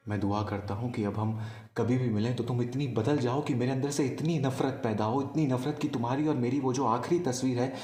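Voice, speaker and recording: A man performing a poetic love monologue as a storyteller, in a wistful, deliberate manner with pauses. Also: mid-range voice, dark tone, reverberant. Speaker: spoken-word storyteller. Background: music.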